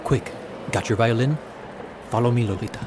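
A man's voice: medium pitch, moderate pace, natural voice. An actor playing a bold, encouraging Puerto Rican father in an audio drama. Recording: clear background ambience noise.

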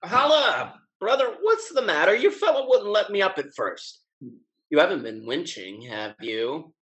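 Breezy, higher-voiced young rake played by an actor. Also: male voice, very animated, a little slow, a bit bright, heavily acted. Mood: jaunty. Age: young adult. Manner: jaunty, mocking period speech. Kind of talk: Restoration-style stage comedy over video call. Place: home room with bookshelves, video call.

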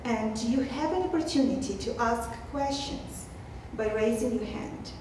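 A woman in her thirties hosts an event, reading out a prepared introduction. Her voice is somewhat high. It comes through a very echoey room with clear background noise. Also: a little slow, distant mic. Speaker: event host. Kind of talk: prepared welcome read aloud. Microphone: handheld microphone.

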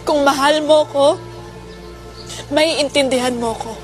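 Casting: woman as wounded, proud girlfriend, very high voice, melodramatic, loud music. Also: fairly even intonation, slow pace. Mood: hurt. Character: hurt, proud girlfriend breaking up angrily.

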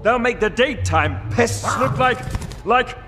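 A man playing a vain, scheming English gentleman hunter in an animated video game, theatrical and haughty. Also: very high-pitched, slow, over music, exaggerated voice. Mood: gleeful scheming.

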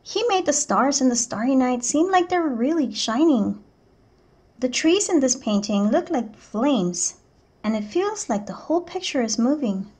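A woman teacher reading a picture book aloud to children in a clear, expressive storytelling manner. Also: sits high, unhurried. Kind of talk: children's read-aloud narration.

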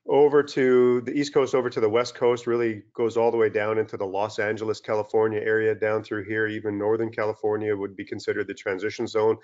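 A middle-aged man from Western Canada giving a relaxed webinar lecture over slides from a small room, with many fillers and restarts. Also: lowish voice. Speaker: turfgrass scientist presenting a webinar. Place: small indoor room, video call. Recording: dull lo-fi video-call audio.

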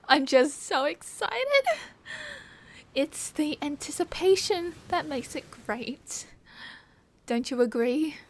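A woman's voice: sits high, expressive, slow pace, long pauses, exaggerated voice. A young woman with an Australian accent playing a cheerful, teasing partygoer in a casual ASMR roleplay, chatting in playful slang. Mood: cheerful, excited. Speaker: roleplay voice actor. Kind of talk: casual ASMR roleplay, one side of a chat.

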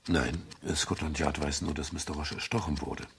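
An actor playing a world-weary private detective in a 1970s German radio crime drama, dry, sardonic and understated. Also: male voice, extremely low pitch, normal speed, vocal fry. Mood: matter-of-fact. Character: world-weary male private detective, dryly wry, narrating his case. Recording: faint hiss of 1970s radio production.

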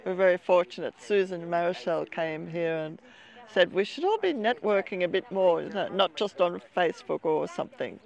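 A woman's voice: lowish voice, normal speed, vocal fry. An older woman, chatting as an interview guest at an outdoor seaside café, recorded on a lapel mic. She rambles a little and laughs often. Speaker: interview guest, expat community organizer. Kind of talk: casual interview chat.

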